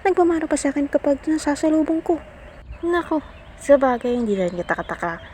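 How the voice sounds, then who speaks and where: Radio drama: woman as nosy, judgmental neighbour, high chatty voice, faint outdoor ambience. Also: sing-song, a little fast, a little mellow, a little hiss or hum. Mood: gossipy. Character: chatty, judgmental gossiping townswoman. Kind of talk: radio play acting in Filipino.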